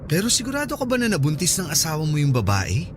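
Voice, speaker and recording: A man's voice: fairly high pitch, wildly swooping pitch, fast, background noise. An actor playing an earnest, flirty coworker smitten with his friend in a Filipino radio drama, coaxing and soothing. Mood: comforting. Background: background music.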